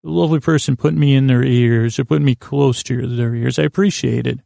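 Creaky, mellow man with an even drone. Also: mid-range voice, dry recording, studio quality. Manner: meandering, halting, self-deprecating. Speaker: podcast host. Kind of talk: rambling, soothing bedtime monologue.

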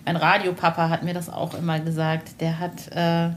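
Casual woman podcaster, slightly low voice. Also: monotone, moderate pace, a little hiss or hum. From Germany, Westphalia. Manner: informal, blunt slang with swearing. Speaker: podcast host, interviewer.

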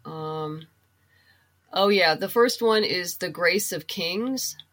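A woman's voice: on the low side, takes it slowly, fairly bright tone. A woman speaking as an interview guest, explaining things conversationally and thinking aloud in a casual chat about books. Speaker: guest, comic book writer and novelist.

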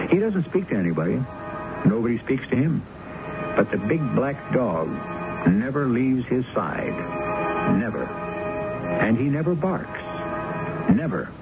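Gravelly male mystery radio host. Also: mid-range voice. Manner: deliberate, ominous. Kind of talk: scripted dramatic narration. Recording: dull old radio broadcast with music.

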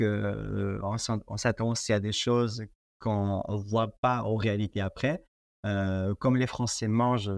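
A young man with a Portuguese accent talks in French as a podcast guest. His voice sits slightly low, and he hesitates often, filling gaps and restarting sentences. The sound is close and dry. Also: darkish tone, no room sound. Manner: hesitant, many fillers and restarts. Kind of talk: casual interview conversation.

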